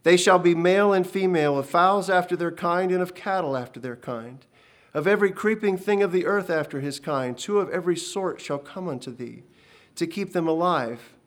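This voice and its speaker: A man's voice: high-pitched, moderate pace, voice pushed up, natural voice. A man voicing a stern, commanding divine voice that proclaims in solemn archaic speech. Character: booming ancient divine voice, male, commanding and stern. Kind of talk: dramatic storytelling reading.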